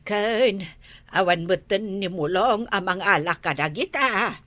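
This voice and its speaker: A woman's voice: mid-range voice, measured pace, sharp tone, no room sound. A woman playing an admonishing mother of a wayward son in a comedy drama, with a chiding manner. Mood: pleased.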